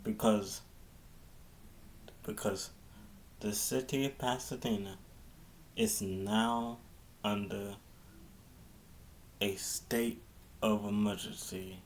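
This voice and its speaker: A man giving an improvised public address as a mayor, halting and often repeating and restarting his phrases. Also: lowish voice, steady tone, slight background noise.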